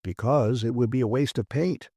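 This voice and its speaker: A man voicing a gruff, blunt and defiant male maintenance chief in an audiobook, arguing emphatically. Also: mid-range voice, normal speed, dark tone, no room sound, clean studio sound, close to an everyday voice. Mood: dismissive, insistent. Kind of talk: dramatised audiobook reading.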